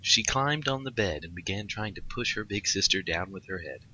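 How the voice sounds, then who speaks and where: A man's voice: sits low, animated, moderate pace, sharp tone, breathy edge, poor audio. A man narrating a scripted story aloud as a co-host. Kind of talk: scripted fan fiction narration.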